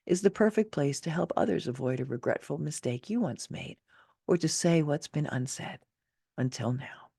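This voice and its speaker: Expressive low-voiced woman reading her own essay. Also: dry recording, clean studio sound. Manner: emphatic, theatrical, motivational delivery. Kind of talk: expressive scripted narration of a personal essay.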